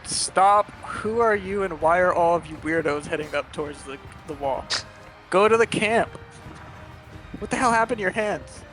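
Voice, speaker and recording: A man acts out a fantasy game town guard, a weary, bumbling fellow who stammers and is easily cowed. His voice sits on the high side for a man, over faint music. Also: moderate pace, a bit bright. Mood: alarmed.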